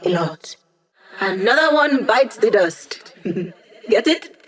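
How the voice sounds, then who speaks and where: A woman's voice: a little high, expressive, takes it slowly. A young woman voicing a wry, quippy digital hacker who gives mission support in a video game, in a conversational way. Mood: wry, playful.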